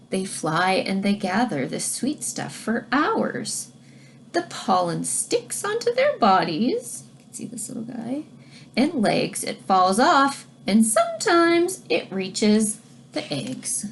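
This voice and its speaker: A woman reading a children's science book aloud like a teacher, expressively, pausing to explain things directly to young listeners. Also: medium pitch, vocal fry, a little hiss or hum. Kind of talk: read-aloud storytelling with explanatory asides to children.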